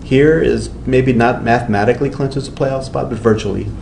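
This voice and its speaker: A man's voice: mid-range voice, normal speed, vocal fry, darkish tone. A middle-aged man from Texas, a sports reporter chatting casually on a webcast panel. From Texas, USA. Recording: echoey room, faint background noise.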